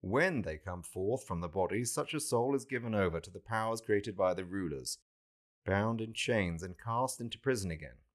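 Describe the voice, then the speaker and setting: A man voicing a calm, authoritative saviour who teaches a follower with solemn, deliberate pronouncements in a scripture reading. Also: lowish voice, lightly acted. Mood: solemn teaching.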